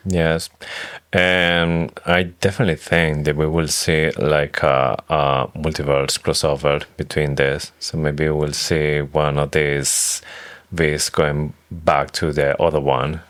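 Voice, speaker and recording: A man with an extremely deep voice shares his predictions in an easygoing read-along chat. He pauses and restarts often, feeling his way through each idea. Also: little pitch movement, a bit bright. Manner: tentative, halting phrasing as he lays out predictions. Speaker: podcast co-host. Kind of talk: casual book-club chat.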